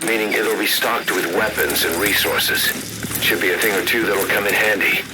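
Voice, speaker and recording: An actor playing a grave, businesslike military comrade in a video game, giving measured mission briefings over a radio. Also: male voice, lowish voice. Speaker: radio support officer briefing the player. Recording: loud game vehicle and ambient noise behind. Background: game ambience, vehicle noise.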